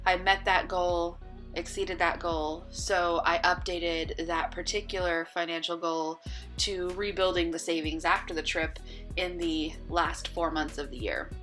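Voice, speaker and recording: Chatty woman, slightly husky, low pitch. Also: measured pace, faint music behind. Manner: relaxed, chatty, with many ums and uhs. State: losing her voice. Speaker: bullet journal vlogger. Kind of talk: casual voice-over walkthrough.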